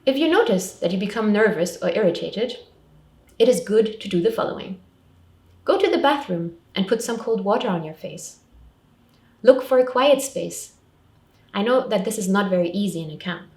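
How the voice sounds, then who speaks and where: Woman, mid-pitched voice, scripted advice talk, simple structured phrasing, roomy echo, distant microphone. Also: a little mellow. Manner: simple, clearly structured advice in short sentences. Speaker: refugee support counsellor presenting advice. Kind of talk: scripted public information talk.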